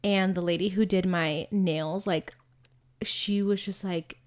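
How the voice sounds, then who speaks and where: A woman's voice: lowish voice, fairly even intonation, slow pace, darkish tone. A woman in her twenties co-hosting a podcast, chatting casually and saying "like" often. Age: 20s. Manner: chatty, fills speech with "like". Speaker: podcast co-host. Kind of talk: casual chat.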